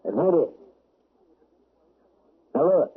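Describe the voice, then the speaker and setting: An actor playing a shrewd, stern small-town sheriff of forty years' service, who questions in a folksy drawl in a 1970s radio drama. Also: male voice, fairly high pitch, animated, slow pace, husky, full character voice. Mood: pressing. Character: older rural male sheriff, shrewd and stern. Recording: tinny lo-fi old radio broadcast with faint hiss.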